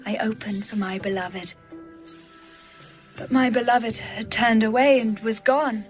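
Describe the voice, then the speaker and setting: A woman's voice: on the high side, fairly even intonation, unhurried, soft background music, close to an everyday voice. A woman playing a lovesick, yearning young bride in a poetic, tender dramatized scripture reading. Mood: lovesick, anguished. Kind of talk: dramatized audio Bible reading.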